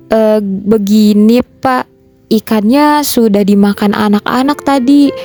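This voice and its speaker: A woman voicing a gentle, anxious mother with a soothing, pleading manner in a children's folktale. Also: a little high. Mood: nervous.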